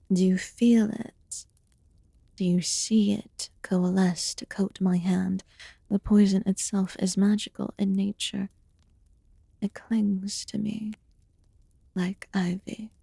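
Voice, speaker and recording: Woman as a slow, breathy, mysterious witch. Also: mid-range voice, no echo, studio quality, full character voice. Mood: calm, soothing. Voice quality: soft, breathy, often slipping into whisper. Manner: formal, archaic, measured phrasing. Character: calm, mysterious immortal witch tending a wounded human guest. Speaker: ASMR roleplay performer. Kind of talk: slow soft-spoken ASMR fantasy roleplay.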